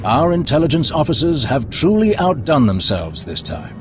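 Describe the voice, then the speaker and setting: An actor playing a smooth, scheming male Sith lord who speaks in a courtly, ingratiating, measured way. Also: fairly high pitch, big pitch swings. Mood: suave. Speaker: Imperial schemer. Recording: game cutscene over loud music.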